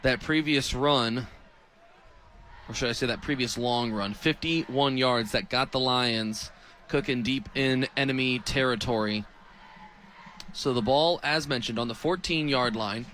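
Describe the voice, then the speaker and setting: A man's voice: medium pitch, measured pace, sharp tone. A man in his twenties with an American accent calling live football play-by-play as a radio announcer. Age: 20s.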